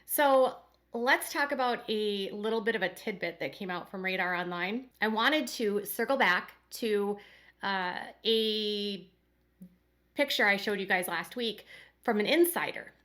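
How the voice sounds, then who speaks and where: A woman's voice: medium pitch, measured pace, fairly bright tone. A woman hosting a casual commentary channel who talks straight to her viewers in a rambling way, her nose congested from a cold.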